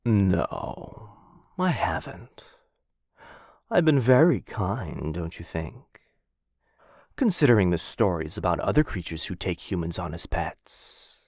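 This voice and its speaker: A man's voice: deep voice, sing-song, takes it slowly, a little mellow, no room sound, clean studio sound, exaggerated voice. A man playing a smug, menacing, possessive dragon captor in a fantasy ASMR roleplay, drawling condescendingly and theatrically. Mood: self-satisfied, coaxing.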